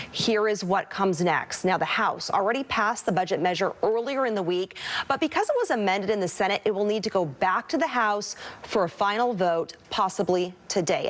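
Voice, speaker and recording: An American woman reporting live news from a desk in a scripted broadcast style. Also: mid-range voice.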